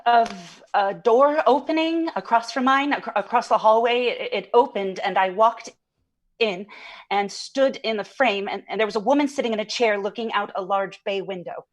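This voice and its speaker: Audio drama: female actor for shaken witness, medium pitch, earnest, halting, careful testimony. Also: close to an everyday voice. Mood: uneasy recall. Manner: halting, earnest, precise recall. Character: shaken witness recounting a strange night.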